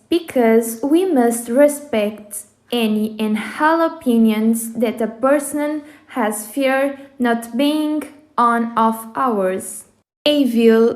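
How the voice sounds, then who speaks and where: A woman's voice: a little high, darkish tone. A sixteen-year-old female student, giving prepared answers. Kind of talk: prepared opinion statement.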